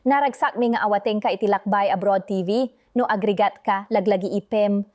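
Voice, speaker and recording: A woman's voice: mid-range voice, fairly quick, a little mellow, no room sound, faint music behind. A woman announcer reading a scripted promotional message.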